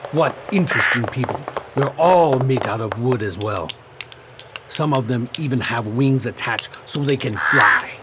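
A man's voice: mid-range voice, measured pace, very noisy, lightly acted. A man playing a genteel, brave old stage magician in a radio play, with a formal, avuncular delivery. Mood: observant.

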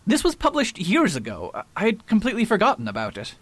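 A man acting as a pompous, easily affronted gentleman detective in a radio play. His voice is fairly high and very animated, precise and wordy, recorded dry. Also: quick pace, no room sound, full character voice. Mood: puzzled, indignant.

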